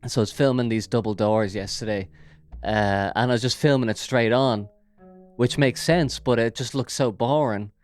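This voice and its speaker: Irish male podcast host, mid-pitched, smooth clear delivery, rambling, jokey chat. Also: moderate pace, a little mellow. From Ireland. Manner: rambling and jokey, with frequent fillers. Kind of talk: casual solo podcast chat. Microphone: big studio microphone.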